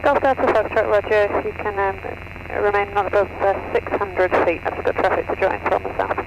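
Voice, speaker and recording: A man's voice: sits high, fairly even intonation, moderate pace, creaky. A man working as an air traffic controller, giving clipped procedural instructions over the radio. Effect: aircraft radio transmission. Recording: muffled, noisy aviation radio. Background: radio and engine noise.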